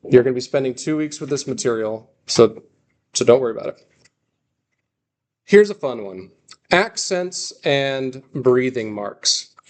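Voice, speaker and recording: A man with a mid-pitched voice teaches a class in an informal lecture style. He is reassuring, stresses key points by repeating them, and keeps checking that listeners follow. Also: animated, unhurried, long pauses. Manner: reassuring, often checks understanding with "okay" and "right". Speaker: language teacher.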